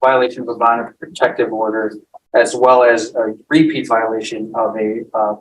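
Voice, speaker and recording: A man's voice: mid-range voice, fairly even intonation, normal speed. State's attorney, man, formal hesitant questioning, slightly off-mic courtroom recording with faint noise. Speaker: prosecutor. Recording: distant, faintly noisy courtroom audio.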